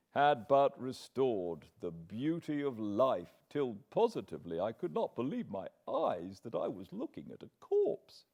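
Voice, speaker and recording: Slow, expressive British male narrator. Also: on the low side. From Britain. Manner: measured, expressive, solemn. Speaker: audiobook narrator. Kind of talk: dramatic literary narration.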